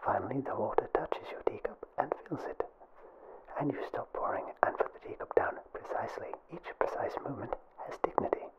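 A young man narrating a scripted ASMR information film in a soft, breathy whisper with a formal, deliberate, mock-official delivery. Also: medium pitch, animated, muffled recording. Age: young adult. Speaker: ASMR video creator, film presenter.

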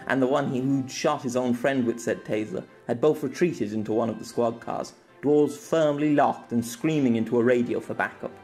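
A man narrates an audiobook in a medium-pitched voice. He tells the story as dramatic scripted prose, carrying the action as a storyteller. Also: a little mellow.

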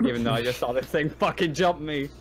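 A man's voice: fairly high pitch, fast, heavy background noise. A man playing a tabletop game in casual chat, repeating phrases as he reasons aloud.

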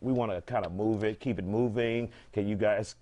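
A man's voice: fairly low pitch, steady tone, moderate pace, mellow, dry recording, lo-fi sound. A middle-aged American man hosting a studio talk show in an opinionated, playfully exasperated way. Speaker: TV show host.

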